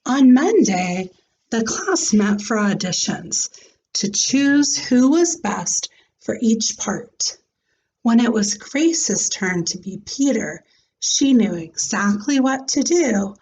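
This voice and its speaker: A woman reading a children's storybook aloud in a deliberate, expressive way, like a teacher with a class. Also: a little high, husky, lo-fi sound.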